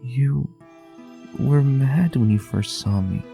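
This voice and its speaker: A man voicing a scared, timid young female alicorn filly with a soft, shaky, fragile voice. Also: medium pitch, slow pace, gravelly, faint music behind, pitched above the performer's own voice.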